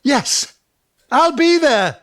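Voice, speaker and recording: Slow, swooping, besotted romantic. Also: male voice, very high voice, big pitch swings, a bit bright, a little hiss or hum, heavily acted. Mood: smitten. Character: besotted sentimental man, aged twenty and reminiscing at eighty. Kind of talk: audio drama acting.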